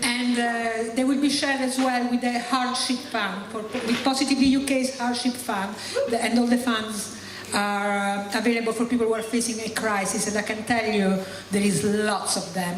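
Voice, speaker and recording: A woman hosting a live stage show with animated, laughing patter. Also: fairly high pitch, normal speed, hardly any pauses, background noise. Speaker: show host. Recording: echoey hall from far off with crowd.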